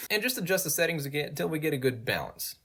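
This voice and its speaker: A man's voice: a little high, sing-song, quick pace, a bit bright, husky. A man giving a casual, conversational tutorial voice-over as the host. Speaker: video tutorial host and game creator.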